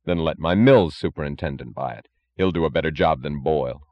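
A man voicing a calm, blunt industrialist who speaks in a cold, matter-of-fact, logical way. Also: extremely low pitch, fairly quick, muffled recording. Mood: blunt, dismissive. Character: calm, blunt, defiant male steel industrialist, coldly logical.

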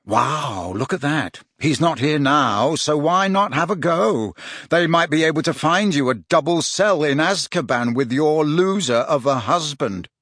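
A man's voice: medium pitch, big pitch swings, measured pace, few pauses, slightly rough, dry recording, clean studio sound. Man voicing a bold, mocking teenage boy. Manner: taunting, bold.

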